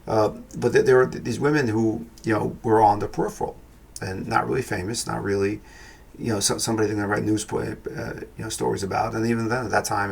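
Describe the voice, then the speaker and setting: A man's voice: fairly low pitch, creaky, slight background noise. A man with a New York accent giving a casual, rambling film review on his podcast, thinking aloud with frequent restarts. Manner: rambling, thoughtful, many restarts and fillers.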